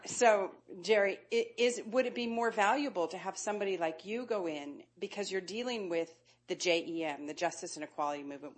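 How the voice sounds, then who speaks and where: A woman in the audience at a panel event, asking a long, winding question in a friendly way over a handheld microphone. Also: on the low side.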